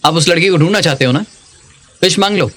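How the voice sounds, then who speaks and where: A man's voice: a little high, fast talker, a bit bright. A man dubbing a chatty, anxious subordinate to a boss in a drama, eager and deferential. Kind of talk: Hindi dubbed drama acting.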